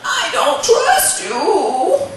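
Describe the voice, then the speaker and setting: A woman storyteller voicing a wise, impatient old grandmother who scolds and shouts in a high, shrill voice. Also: wildly swooping pitch, drawn-out words, heavy reverb, recorded from a distance, a little hiss or hum, heavily acted, rough recording. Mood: scolding.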